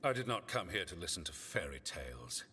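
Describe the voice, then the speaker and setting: An actor playing a stern, dismissive military commander in a video game, speaking with pompous, deliberate authority. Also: male voice, low-pitched, big pitch swings, sharp tone, full character voice. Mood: stern, accusing. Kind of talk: dramatic video game dialogue. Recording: long reverb, music behind.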